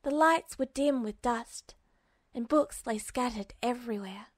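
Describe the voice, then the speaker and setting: A woman's voice: a little high, a little slow, no echo, studio quality. A woman reading a story aloud as a scripted fiction narrator. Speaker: audiobook narrator.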